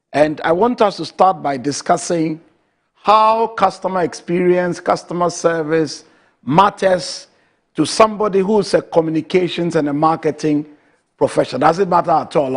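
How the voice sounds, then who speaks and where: A man with a Ghanaian English accent hosting a TV interview, asking questions in a formal, emphatic manner. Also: fairly high pitch. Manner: formal, emphatic, addresses guest as madam. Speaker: TV show host.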